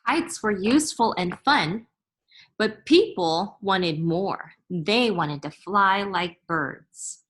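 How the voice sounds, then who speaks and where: A woman reads aloud from a children's book in the manner of a teacher. Her pace is slow and deliberate, and her pitch sits in the middle range. She sounds instructive, setting out each term carefully for young listeners. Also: expressive, a bit bright. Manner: deliberate, instructive, spelling out and explaining terms.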